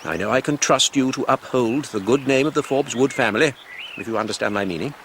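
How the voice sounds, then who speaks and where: An English actor playing a stern, self-important Victorian patriarch in a radio drama, speaking formally. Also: male voice, medium pitch, a little fast, a bit bright, slight background noise, lightly acted. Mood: grave. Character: pompous middle-aged Victorian father, stern patriarch. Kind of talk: 1990s radio drama acting.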